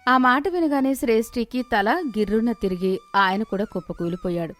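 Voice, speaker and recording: A woman narrator telling a scripted moral story in an expressive storytelling manner. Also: fairly high pitch, normal speed, faint music behind.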